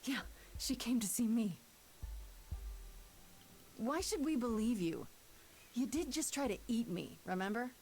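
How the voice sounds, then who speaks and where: An actress playing a wary, sharp-tongued young heroine in a video game, dry, questioning and confrontational. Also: female voice, on the high side, lively intonation, measured pace, long pauses. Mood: skeptical.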